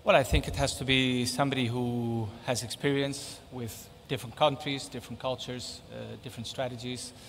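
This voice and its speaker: A middle-aged man whose first language is Spanish, giving thoughtful, measured interview answers and repeating points for emphasis. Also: medium pitch, flat delivery, creaky, fairly bright tone, long reverb tail, a little hiss or hum. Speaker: interviewee, football technical advisor.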